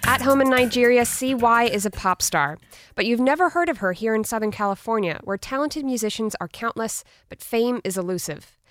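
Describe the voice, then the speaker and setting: A woman anchoring a student radio newscast in a crisp, formal manner. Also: fairly high pitch, expressive, a little fast, fairly bright tone, no room sound, soft background music. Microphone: studio mic.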